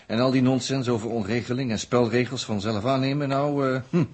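An actor in a 1960s radio drama playing a defiant captive space traveller from Earth, with a husky voice and a sardonic, teasing, casual manner. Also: male voice, medium pitch, steady tone, fairly quick, a little mellow, lightly acted. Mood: grumbling, defiant. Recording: old 1960s radio recording.

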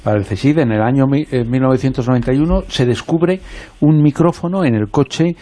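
A man's voice: mid-range voice, brisk, dark tone, dry recording. A male radio host in the studio setting out background facts to his guest before a question.

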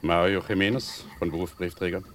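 A man acting in a radio play as a bureaucratic policeman, official and insistent, with falsely calming words. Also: deep voice, fairly quick, slight background noise. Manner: official, repetitive reassurance.

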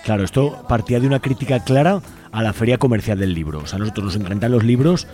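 A man's voice: lowish voice, quick pace, mellow. A man with an accent from Spain speaking casually and enthusiastically as a radio guest, in a colloquial, rambling way that often seeks agreement. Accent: Spanish from Spain. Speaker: radio guest from a community library collective. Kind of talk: casual spoken radio interview.

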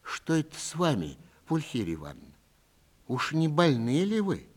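A man's voice: fairly high pitch, huge pitch swings, moderate pace, pitched above the performer's own voice. A man voicing a kindly, anxious old husband with an emphatic, fretful delivery in a literary story. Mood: worried.

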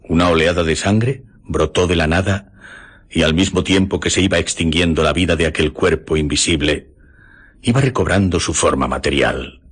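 A man playing a grave eyewitness narrator in a radio drama, husky and measured. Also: deep voice. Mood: grave, tense. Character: grave male eyewitness recounting an adventure tale.

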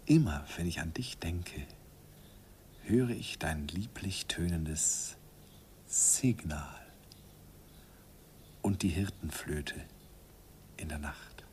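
An actor narrating as a man recalling his young love, in a hushed, reflective voice, in an old radio recording. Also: low voice, slow, pauses often. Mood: wistful. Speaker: narrator and young German student Andi. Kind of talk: 1950s German radio drama narration. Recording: faint hiss of an old 1951 radio recording.

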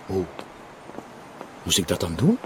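Brave jonge Vlaamse agent, lage stem. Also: male voice, deep voice, huge pitch swings, slow, a little mellow, a little hiss or hum, heavily acted. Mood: earnest, unsure. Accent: Flemish. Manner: polite, hesitant. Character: earnest, literal-minded, hesitant junior policeman. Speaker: actor. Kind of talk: naturalistic TV crime drama dialogue.

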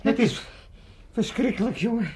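A man's voice: extremely high pitch, animated, normal speed, a little mellow, slight background noise. An actor playing a hungover, self-pitying old father in a comic radio drama, complaining theatrically with groans. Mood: groaning, suffering. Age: older.

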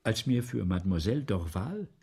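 An actor in a radio play as a vain, anxious middle-aged playwright, obsessive, halting and theatrical, repeating himself. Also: male voice, lowish voice, very animated, warm tone, slightly rough, heavily acted. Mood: brooding, weary. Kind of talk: 1970s German radio play acting.

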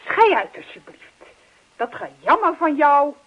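An actress playing a brisk, exasperated wife who scolds and dismisses her husband in a radio comedy play. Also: high-pitched, big pitch swings. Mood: reproachful. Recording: muffled old radio play recording.

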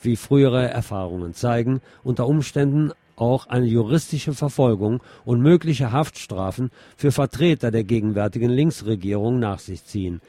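A man's voice: medium pitch, normal speed, few pauses, dark tone, no echo. A man reading scripted news as a radio presenter.

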